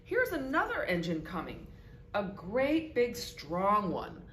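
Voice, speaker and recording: A woman's voice: mid-range voice, wildly swooping pitch, slow, fairly bright tone, full character voice. A woman voicing a funny, hopeful little toy clown from a storybook, calling out with encouragement. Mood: eager, hopeful. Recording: roomy echo with background noise.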